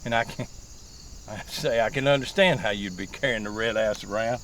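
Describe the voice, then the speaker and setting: A man's voice: lowish voice, brisk, a bit bright, a little hiss or hum, lightly acted. An actor playing a crude, folksy rural man with a drawl, outdoors. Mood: leering. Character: crude rural older man, pious yet lewd.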